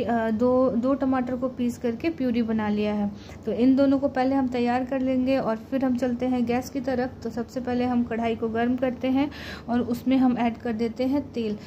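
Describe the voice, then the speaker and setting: High-voiced Indian woman explaining a recipe. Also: dark tone, slight background noise. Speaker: home cook presenting a recipe. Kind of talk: cooking tutorial voice-over.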